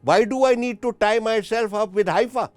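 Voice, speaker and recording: A man speaking as a diplomat guest, giving formal, emphatic and deliberate analysis in an interview. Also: sits high, no room sound, faint music behind.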